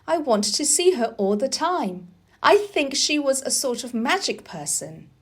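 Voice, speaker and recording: Woman voicing a spirited, demanding young boy, high-pitched. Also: very animated, unhurried. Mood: earnest.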